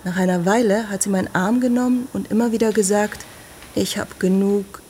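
An actress in a radio drama playing a brisk, frank hospital doctor who talks in a direct, matter-of-fact way. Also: female voice, mid-range voice, steady tone, mellow, a little hiss or hum, natural voice. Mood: matter-of-fact, concerned.

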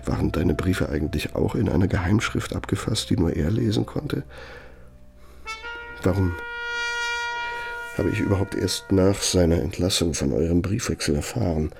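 An actor playing a pompous, brooding older architect in a radio play, with insinuating, theatrical delivery and a gravelly, husky voice. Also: male voice, sits low, moderate pace, long pauses, a little mellow, soft background music. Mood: bitter, threatening. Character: self-important older male architect, insinuating, bitter, brooding. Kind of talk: German radio play acting, monologue and dialogue.

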